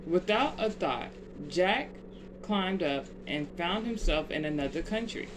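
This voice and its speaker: A storyteller reading a children's bedtime tale aloud in deliberate, measured phrases. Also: mid-range voice. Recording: clear steady background noise.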